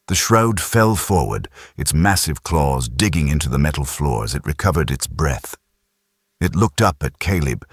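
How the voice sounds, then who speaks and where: A man's voice: extremely low pitch, slightly rough, dry recording, clean studio sound. A man narrating a science fiction audiobook in a dramatic, scripted style.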